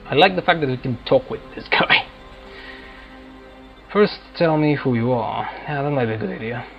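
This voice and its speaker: A man's voice: mid-range voice, animated, moderate pace, gravelly, lo-fi sound. A man giving casual let's-play commentary as a video game player, musing aloud in an offhand manner. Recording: game music behind the voice. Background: video game music.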